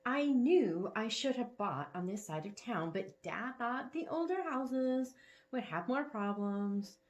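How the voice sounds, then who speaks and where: A woman's voice: a little high, expressive, slow pace, pitched above the performer's own voice. A woman voicing a sassy, eager young woman in a romance story, direct and playful.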